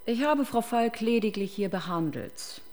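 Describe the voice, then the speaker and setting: An actress playing a cold, defiant clinic doctor under interrogation in a German radio crime drama, formal and indignant. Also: female voice, fairly high pitch, expressive, unhurried, a little mellow. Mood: defensive, cool. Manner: formal, clipped, indignant, evasive.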